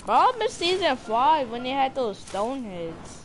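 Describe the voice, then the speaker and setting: A woman's voice: sits high, sing-song, a little slow, slight background noise. A woman chatting casually while playing a video game, teasing and joking with friends over voice chat. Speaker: streamer.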